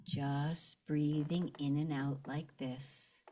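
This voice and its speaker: Slow, low-voiced woman leading gentle meditation. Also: flat delivery. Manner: gentle, unhurried, with long pauses. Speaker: guided meditation leader. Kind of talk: slow guided meditation and visualization.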